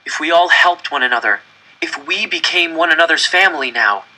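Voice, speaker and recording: A man's voice: on the high side, expressive, brisk, bright, forceful tone, low-quality recording. A man reading a first-person story aloud dramatically, with an earnest, intense and emphatic delivery. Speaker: audiobook reader.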